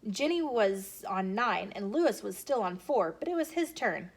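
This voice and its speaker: A woman reading a children's story aloud in an animated, expressive way, addressing young listeners directly. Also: mid-range voice, moderate pace. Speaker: storyteller host. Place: bookshop, with ambient noise. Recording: clear background noise.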